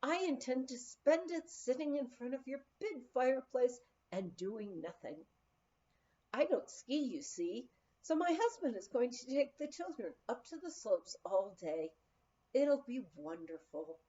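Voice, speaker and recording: A woman's voice: sits high, animated, a little slow, dark tone, dull audio. A woman voicing a breezy, self-assured mother on vacation in a storybook reading. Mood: contented.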